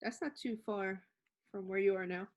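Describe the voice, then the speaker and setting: A young woman co-hosting a podcast in casual chat, teasing and expressive. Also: mid-range voice, moderate pace. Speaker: podcast co-host.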